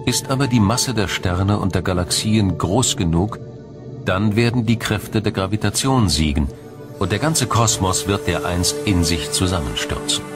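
A man reading scripted science documentary narration in a measured, dramatic storytelling manner. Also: low voice. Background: music.

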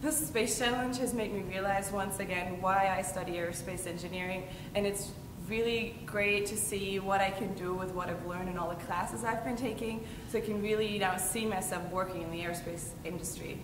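Young woman, friendly, distant mic, rumble behind. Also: fairly even intonation, normal speed, hardly any pauses, reverberant, in a large hall. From Germany. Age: young adult. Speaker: engineering student.

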